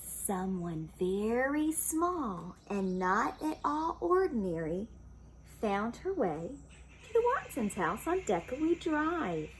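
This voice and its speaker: A woman reads a children's storybook aloud at an unhurried pace. Her voice is fairly high and very animated, and she explains simply as she goes, over faint background noise. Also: low-quality recording. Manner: explains asides simply to young listeners.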